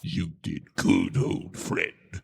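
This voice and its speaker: A man playing a sinister, patient mastermind in a radio drama, speaking slowly and deliberately with a gravelly voice and menacing calm. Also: very deep voice, exaggerated voice.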